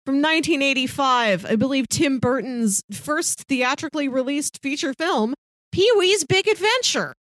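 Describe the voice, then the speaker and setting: Female podcast co-host, playful and chatty, higher register, close dry sound. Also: animated, moderate pace, fairly bright tone, no room sound. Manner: chuckles mid-sentence, playful. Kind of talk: casual film podcast chat, pitching films and reading blurbs.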